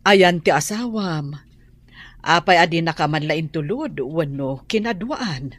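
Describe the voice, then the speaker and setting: A woman's voice: sits low, expressive, measured pace. A woman playing a kindly parlor owner in an Ilocano radio drama, with an Ilocano accent. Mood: concerned, kindly.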